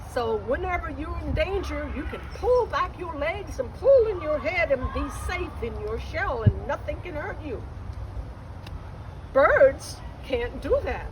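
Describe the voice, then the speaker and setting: A woman plays a wise Creator spirit from a storybook, calm and firm. The words come very deliberately and slowly, as patient instruction, with clear outdoor noise around the voice. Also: very high-pitched, exaggerated voice.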